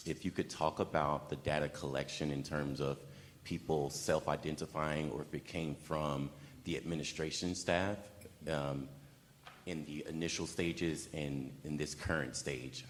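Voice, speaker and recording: A man's voice: extremely low pitch, fairly even intonation, slight background noise. A man in the audience politely asking the panel a question, with a few hesitations. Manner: polite, slightly halting. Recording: long hall reverb.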